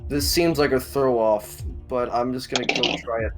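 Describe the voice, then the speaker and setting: A young man with a medium-pitched voice talks casually as a quiz contestant. He thinks out loud, unsure, with plenty of fillers. Soft music sits behind him. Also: steady tone, moderate pace. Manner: hesitant, thinks aloud with fillers. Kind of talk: casual chat.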